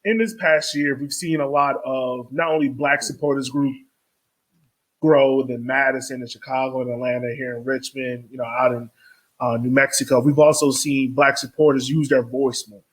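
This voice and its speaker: A man's voice: medium pitch, steady tone, normal speed, low-quality recording. A man hosting a podcast interview in a casual, laid-back way with informal slang. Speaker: podcast host.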